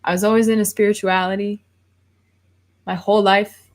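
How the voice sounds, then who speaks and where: A woman's voice: mid-range voice, flat delivery, normal speed. A woman in her thirties, rambling casually on a livestream and reading viewer comments aloud before answering them. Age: 30s. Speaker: livestream host.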